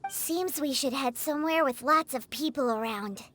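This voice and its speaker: A woman voicing a tiny childlike fairy companion in a video game, squeaky and perky, with music behind her. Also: moderate pace, a bit bright, a little hiss or hum. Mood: matter-of-fact. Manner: perky, speaks of herself in third person. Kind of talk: video game character acting.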